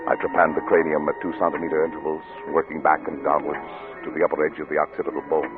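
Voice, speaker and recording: A man acting a troubled, obsessive middle-aged doctor and father in an old radio drama, with grave, formal, deliberate delivery. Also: extremely low pitch, flat delivery. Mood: grim, clinical. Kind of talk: 1940s radio drama acting with first-person narration. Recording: muffled old radio recording with music.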